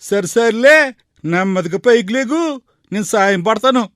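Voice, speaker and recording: Guy doing a village bullock cart driver for a cartoon story, high voice, blunt country talk. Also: lively intonation, a little slow, fairly bright tone, no room sound, studio quality. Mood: defensive. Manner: colloquial, rustic, blunt.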